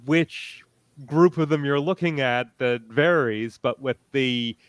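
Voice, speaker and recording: A man speaking as a convention panelist, explaining things haltingly and often pausing mid-sentence in a discussion. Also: medium pitch, clean tone, dry recording. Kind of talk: panel discussion of audio dramas.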